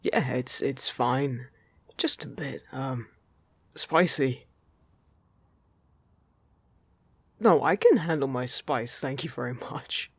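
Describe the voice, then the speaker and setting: Playful man acting a male fairy, slightly creaky voice. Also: medium pitch, takes it slowly, fairly bright tone, no echo, exaggerated voice. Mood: teasing, flustered. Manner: chatty, teasing, casual and reassuring. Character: friendly, playful, teasing male fairy guiding a human. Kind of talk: scripted audio roleplay addressed to the listener.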